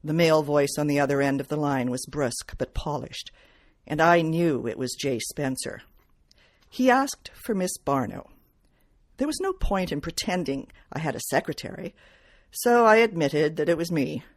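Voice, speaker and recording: A woman reading first-person detective fiction aloud as its author, in measured, clearly phrased narration. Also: sits low, animated. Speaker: author reading from own novel.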